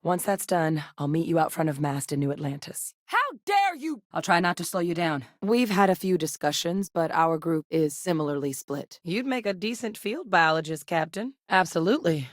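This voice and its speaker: A woman's voice: sits low, moderate pace, few pauses, dry recording, close to an everyday voice. An actress playing a capable, dry-witted woman scientist and soldier in a space video game, articulate, earnest and direct. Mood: determined.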